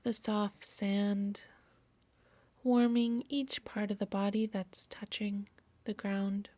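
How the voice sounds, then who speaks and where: A woman yoga nidra instructor softly narrating a guided meditation in a soothing, measured, breathy voice. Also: mid-range voice, level tone, dry recording. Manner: soft, soothing, measured delivery.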